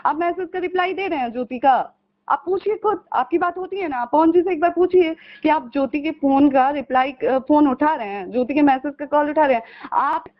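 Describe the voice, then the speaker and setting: A woman's voice: high-pitched, brisk. A woman in a heated personal phone call, insistent and aggrieved, repeating herself as she argues. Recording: muffled recorded phone call.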